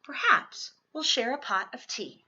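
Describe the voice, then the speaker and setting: A gentle old man who looks after zoo animals and has a cold , acted out by a woman reading a storybook. The voice is fairly high and bright, with expressive emphasis. The sound is slightly dull and lo-fi. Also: a little slow, husky, exaggerated voice. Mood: grateful, gentle. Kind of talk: children's storybook character voice.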